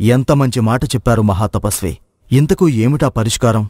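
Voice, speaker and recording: A man's voice: mid-range voice, moderate pace, mellow, dry recording, studio quality, natural voice. A man playing a generous, effusive middle-aged king in a moral folk tale, speaking in formal, regal phrases. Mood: grateful, admiring.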